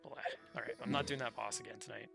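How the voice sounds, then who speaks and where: Casual male streamer over clear music. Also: mid-range voice, steady tone, fast. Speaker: game livestreamer. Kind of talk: casual chat while gaming. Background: game music.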